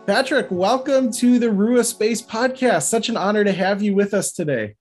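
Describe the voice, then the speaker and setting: A male podcast host with a Midwestern American accent speaks with eager enthusiasm. His voice is high for a man, and he is warmly effusive as he introduces his guest. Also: moderate pace, soft background music. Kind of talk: podcast hosting and sponsor appeal.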